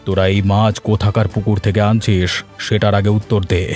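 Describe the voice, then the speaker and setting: A man's voice: on the low side, little pitch movement, a little fast, darkish tone, faint music behind, lightly acted. A man voicing a stern, domineering village headman in a cartoon, scolding and interrogating in a commanding manner. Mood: stern, accusing. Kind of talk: cartoon dialogue.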